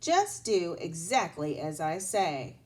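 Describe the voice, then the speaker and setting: A woman's voice: fairly low pitch, sing-song, slow pace, a little mellow, exaggerated voice. A woman voicing a patient, helpful young schoolgirl who teaches a friend in a storybook. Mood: eager, helpful. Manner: encouraging, instructive.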